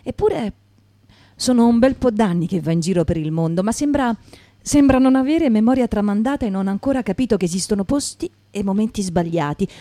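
A woman's voice: mid-range voice, fairly quick, mellow, no echo. A woman performer giving a dramatic reading in a piece of radio theatre. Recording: guitar music behind the voice.

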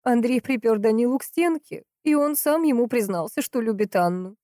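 Woman voicing a distraught, insistent grieving sister, mellow-toned. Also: a little high, animated, dry recording, clean studio sound, voice pushed up, close to an everyday voice. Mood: indignant. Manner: emphatic, impatient, drawn-out stresses. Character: distraught, insistent, hot-tempered grieving sister in a crime story.

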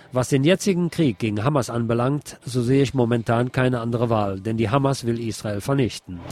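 Man reading aloud over loud guitar music. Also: medium pitch. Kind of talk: scripted reading of a published interview.

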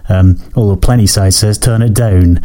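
A man hosting his own podcast, hoarse and croaky from a cold, chatting in a rambling way that keeps correcting itself. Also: lowish voice, moderate pace, warm tone. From Scotland. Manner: rambling, self-correcting, apologetic. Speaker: podcast host. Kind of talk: casual solo podcast chat.

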